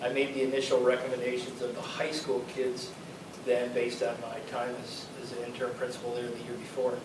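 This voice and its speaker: A man, a district student-support director, presenting to a school board in a casual, halting way with many fillers. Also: medium pitch, monotone. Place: school board meeting room. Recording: echoey room, distant mic, noisy.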